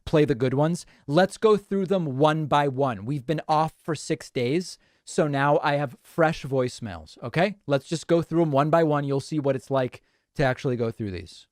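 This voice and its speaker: A man's voice: a little high, moderate pace, a little mellow, no echo, studio quality. A man hosting a live show, talking casually and matter-of-factly with a dismissive streak. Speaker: show host. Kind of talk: casual live commentary on voicemails.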